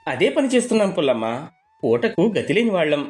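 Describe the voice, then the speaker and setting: A man's voice: a little high, big pitch swings, quick pace, darkish tone. A man playing a poor, good-humoured village father in a storybook, folksy, wry and earnest. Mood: resigned. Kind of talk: acted moral storybook dialogue.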